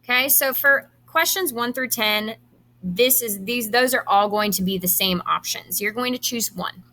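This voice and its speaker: A woman, a high school teacher, reads quiz questions aloud to students, enunciating each item deliberately and adding brief instructions. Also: sharp tone.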